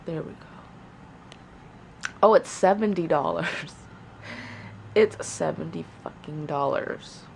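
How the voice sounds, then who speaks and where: Slow-talking young woman, casual and swearing. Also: low voice, vocal fry, darkish tone, slight background noise. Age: young adult. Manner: casual, swears, mutters to herself while working. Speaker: vlogger. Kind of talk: casual vlog chat. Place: small bedroom indoors by a window.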